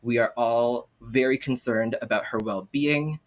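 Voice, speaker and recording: A man's voice: mid-range voice, steady tone, a little slow. A man hosting a talk show with playful banter, speaking in a campy, sassy, theatrical way.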